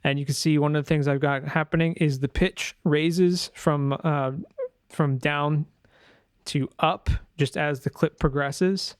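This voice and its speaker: A man's voice: a little high, fairly even intonation, unhurried, smooth, no echo, clean studio sound. A man talking casually through a screen-share tutorial as a sound designer, informal and loose, thinking aloud as he goes.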